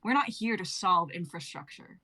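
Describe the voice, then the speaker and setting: Bright, lowish-voiced young woman narrating comedy. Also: expressive, moderate pace. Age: young adult. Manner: irreverent, declamatory. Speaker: narrator reading stage directions. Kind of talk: theatrical comedic script narration.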